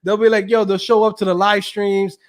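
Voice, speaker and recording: A man with a high, bright-toned speaking voice, chatting loosely as a guest. He is hyped and slangy and breaks into laughter often. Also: level tone, brisk. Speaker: guest, coding course creator. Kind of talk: casual podcast chat.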